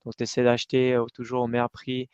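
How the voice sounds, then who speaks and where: A middle-aged man speaks in a smooth, clean voice that barely rises or falls. He chats casually as an interview guest, full of fillers and restarts. The recording is dry and a little dull. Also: medium pitch, a little fast, no room sound. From Iran and Switzerland, raised in the United States. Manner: animated storytelling with many fillers and restarts. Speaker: company cofounder, interview guest.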